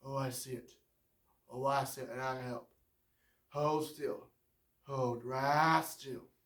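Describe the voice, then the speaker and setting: A man reading a story, voicing a slow, simple, soft-spoken gentle giant of a man with a hushed Southern drawl. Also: mid-range voice, fairly even intonation, far from the mic. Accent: Southern US (figure). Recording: roomy echo, voice off-mic.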